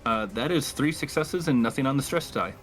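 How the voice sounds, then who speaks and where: Man playing a tabletop game, mid-pitched. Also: lively intonation, fairly quick, fairly bright tone, faint music behind, low-quality recording. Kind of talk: casual in-game discussion.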